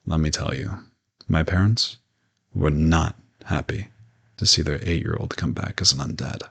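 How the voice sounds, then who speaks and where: A man playing a gentle, courteous old vampire in an intimate audio roleplay, reassuring and lightly teasing as he speaks to the listener. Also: a bass voice, normal speed, creaky, slightly rough, heavily acted. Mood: wry, reminiscing. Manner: soft-spoken reassurance, politely formal with teasing asides.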